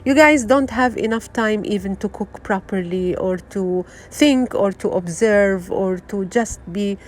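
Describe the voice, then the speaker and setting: A middle-aged woman from Syria with a Syrian Arabic accent, giving an unscripted motivational talk, earnest and halting, full of fillers and restarts. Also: mid-range voice. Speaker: wellness vlogger.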